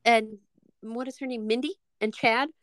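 A woman co-hosting a podcast over a remote call, chatting casually and adding quick joking asides. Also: on the high side, steady tone, a bit bright, no room sound. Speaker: podcast co-host. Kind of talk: casual chat.

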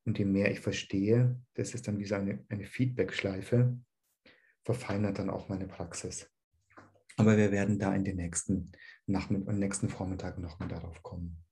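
A man teaching dharma to an online group, calm and searching, with a slight cold. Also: low voice, normal speed. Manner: calm, searching, with many "ähm" restarts.

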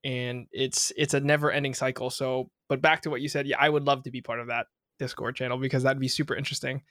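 A man's voice: medium pitch, flat delivery, fast talker, fairly bright tone, no echo, studio quality. A young man hosting a podcast, talking casually with lots of fillers and restarts. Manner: rambling, many fillers and restarts. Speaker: podcast host.

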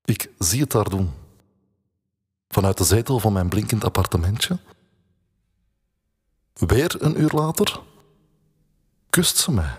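A man's voice: fairly low pitch, lively intonation, slow, long pauses, breathy edge, full character voice. An actor playing a brooding, laconic Flemish man in his thirties, narrating an intimate audio drama in terse, understated phrases. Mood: wistful, restrained. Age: 30s. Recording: music bed under the voice. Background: music.

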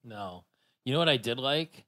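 A man's voice: medium pitch, animated, moderate pace, sharp tone. A man co-hosting a podcast in casual chat. He often stops, restarts his sentences and laughs between thoughts. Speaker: podcast co-host.

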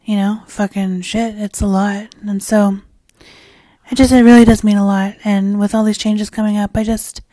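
A woman hosting her own podcast in a casual, rambling solo chat, her voice raspy and hoarse as she loses it, with plenty of fillers and restarts. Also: medium pitch, level tone, normal speed, warm tone, no echo. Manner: swears casually. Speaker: podcast host.

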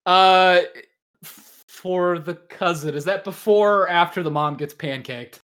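A man's voice: high voice, takes it slowly, a bit bright. A man hosting a casual podcast chat, teasing and swearing freely, with lots of slang. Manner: teasing, profane, heavy use of slang like "dude". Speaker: podcast host.

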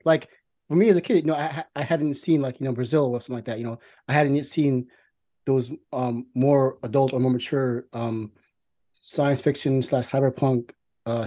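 A man's voice: a little high, warm tone. A middle-aged man from New York chatting casually as a podcast co-host, rambling, repeating words and swearing freely. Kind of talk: casual film chat.

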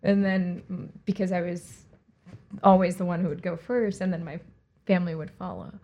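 A woman with a mellow, warm tone talks through her thoughts as an interview guest. Her first language is Hindi, and she grew up between India and Puerto Rico. She muses aloud, restarting phrases and leaning on fillers as she goes. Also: lowish voice, steady tone, moderate pace. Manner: reflective, rambling with many fillers and restarts. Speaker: guest, artist and director. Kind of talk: conversational interview.

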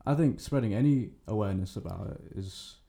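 A man's voice: fairly low pitch, fairly quick, creaky, dark tone. A nineteen-year-old man speaking as a guest in a casual podcast chat, hesitant with many fillers and restarts.

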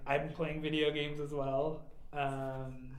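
A man chatting casually as a podcast co-host, full of fillers and quick laughs. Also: fairly high pitch, flat delivery, roomy sound, slight background noise, low-quality recording. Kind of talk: casual chat.

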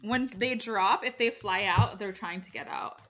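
A woman's voice: mid-range voice, a little slow, sharp tone. Casual woman co-host with mid-range voice. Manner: informal, slangy, encouraging. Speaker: podcast co-host. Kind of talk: casual chat with tarot card readings.

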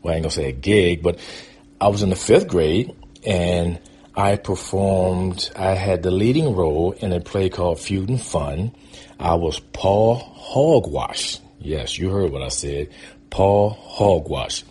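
A man's voice: sits low, a little slow, mellow, breathy edge. An American man, around his fifties, tells stories from his own life in a casual, rambling, conversational way. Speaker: guest storyteller. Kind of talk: casual autobiographical storytelling.

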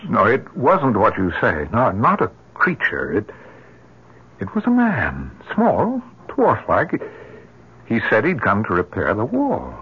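An actor in an old radio melodrama playing a distraught, disbelieving husband, agitated and urgent. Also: male voice, fairly low pitch, wildly swooping pitch, slow pace, husky, full character voice. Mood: alarmed. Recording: muffled old radio drama recording.

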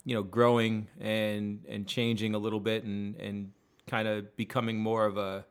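Low-voiced rambling podcast guy. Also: level tone, moderate pace, clean tone. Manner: loose, rambling, lots of likes and restarts. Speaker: podcast co-host. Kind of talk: casual chat.

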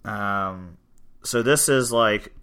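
A man's voice: on the low side, extremely slow, clean tone. A man, chatting casually on a podcast about his gym, rambling with lots of fillers. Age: 20s. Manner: relaxed, rambling with many fillers. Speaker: gym owner and podcast host.

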